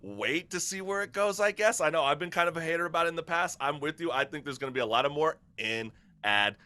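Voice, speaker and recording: Guy hosting a chatty talk show, really bright forceful voice, slangy and hyped. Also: fairly high pitch, animated, fast talker. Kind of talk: casual talk show chat.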